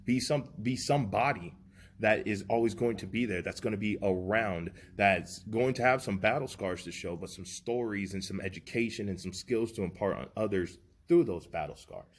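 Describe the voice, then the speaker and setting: Earnest low-voiced man in his thirties. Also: normal speed, slightly rough. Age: 30s. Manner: earnest, reflective, motivational. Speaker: podcast co-host. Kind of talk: casual podcast chat.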